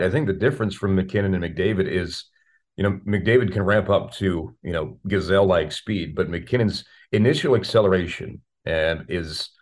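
A man, a radio play-by-play announcer, giving wordy sports analysis as an interview guest, often repeating words as he searches for the next phrase. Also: low voice. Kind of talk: sports interview chat about hockey.

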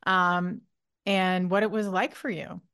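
A woman's voice: lowish voice, little pitch movement, takes it slowly, fairly bright tone, smooth, no room sound, clean studio sound. A woman hosting a podcast interview, chatty and enthusiastic. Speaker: podcast host. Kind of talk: casual interview.

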